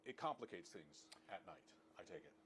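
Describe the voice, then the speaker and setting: A man's voice: deep voice, lively intonation, fairly quick, fairly bright tone, slight background noise. A male news reporter conducting a field interview, asking prompting questions. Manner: prompting, inquisitive.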